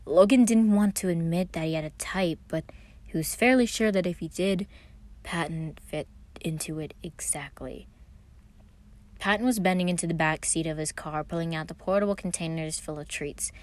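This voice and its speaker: A woman reading fan fiction aloud as an expressive scripted story narration. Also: lowish voice, normal speed.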